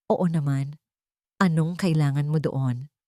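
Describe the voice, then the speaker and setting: A woman's voice: low voice, fairly even intonation, a little slow, mellow, dry recording, studio quality, voice pushed down. A woman with a Filipino accent voicing a caring, worried older provincial aunt in an audio drama, concerned and motherly.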